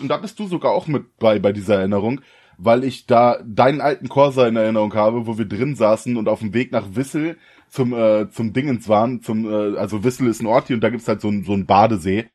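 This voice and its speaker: A young man from the co-hosting a casual podcast, slangy and relaxed, often laughing. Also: on the low side, fairly quick. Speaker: podcast co-host. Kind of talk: casual chat.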